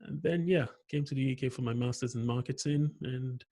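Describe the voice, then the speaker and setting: Nigerian-raised man, reflective and unhurried. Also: medium pitch, warm tone. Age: adult. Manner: reflective, unhurried storytelling with frequent "yeah". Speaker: guest, agile marketing consultant. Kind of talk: conversational interview.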